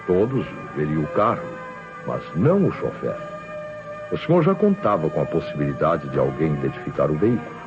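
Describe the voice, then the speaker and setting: Deep-voiced, theatrical Brazilian police inspector. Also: male voice, sing-song, fairly quick, exaggerated voice. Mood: insistent, triumphant. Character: shrewd, self-assured male police inspector, theatrical and insistent. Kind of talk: 1960s Brazilian radio mystery acting. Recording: muffled old radio recording, music behind.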